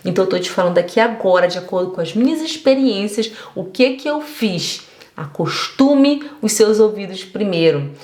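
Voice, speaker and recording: A woman teaching German online, talking straight to the viewer in an earnest, encouraging way. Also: on the high side. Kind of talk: motivational online lesson. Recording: echoey room.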